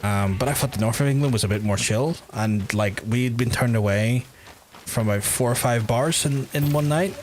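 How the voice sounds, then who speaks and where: A man's voice: mid-range voice, normal speed, darkish tone, soft background music, rough recording. A young Irish man chatting casually while livestreaming a video game, rambling with fillers and casual swearing. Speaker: game streamer.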